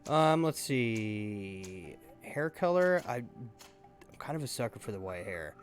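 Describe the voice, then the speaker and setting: A man's voice: medium pitch, very animated, soft background music. Man doing casual game playthrough commentary. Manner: halting, thinking aloud. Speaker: gaming YouTuber.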